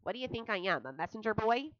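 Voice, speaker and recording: A woman's voice: on the high side, big pitch swings, fast talker, a bit bright, no room sound, pitched above the performer's own voice. A woman voicing a grumpy, sulky male barn rat who grumbles sarcastically. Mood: grumbling. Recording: dull lo-fi audiobook recording.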